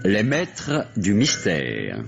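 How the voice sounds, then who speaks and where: A man's voice: on the low side, wildly swooping pitch, creaky. A man formally announcing the title and credits of a vintage radio drama. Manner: formal, measured presentation. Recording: dull old radio recording. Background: prominent music.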